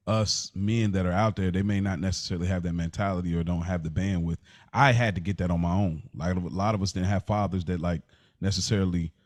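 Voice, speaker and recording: A man with a fairly low voice talks as a podcast host in a close, dry recording. His delivery is informal and slangy, and he often repeats phrases to drive them home. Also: a little mellow, no echo. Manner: informal, slangy, emphatic repetition. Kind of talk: casual podcast conversation.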